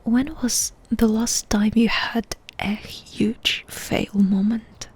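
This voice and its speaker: A woman whispers in an ASMR style, her voice extremely breathy and airy. She takes her time with each question, speaking slowly and intimately, as if to one listener. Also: a little high, fairly even intonation. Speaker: ASMR creator asking personal questions. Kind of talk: ASMR personal-attention roleplay, soft-spoken whispering.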